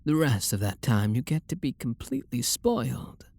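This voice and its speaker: A man playing a refined, possessive vampire lord lover in an intimate ASMR roleplay, with a British accent and a soft, breathy, seductive murmur. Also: medium pitch, sing-song, moderate pace, no echo. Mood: possessive, flirtatious. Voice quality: breathy, gravelly.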